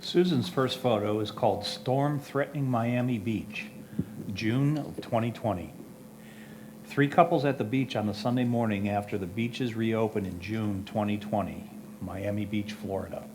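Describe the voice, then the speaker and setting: A man's voice: fairly low pitch, moderate pace. Man reading notes over faint background noise. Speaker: reader of photographers' comments. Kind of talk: reading prepared notes aloud.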